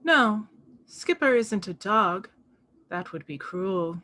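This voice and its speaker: A morbid, suspicious little girl, acted out by a woman in a table read. She is solemn and deliberate, with a deadpan delivery at a medium female pitch. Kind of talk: film script table read.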